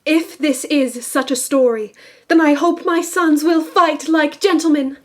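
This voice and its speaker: A high-pitched, motherly girl storyteller in an audio drama, acted by a woman. The lines are scripted narration and role-play. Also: fairly even intonation, a little slow. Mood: earnest, solemn.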